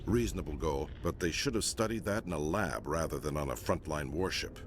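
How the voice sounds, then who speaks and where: An actor playing a gruff, disapproving middle-aged admiral in a video game, blunt and stern in the way he talks. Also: very deep voice, brisk, slight background noise. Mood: critical, exasperated. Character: gruff, disapproving senior space navy admiral inspecting a warship.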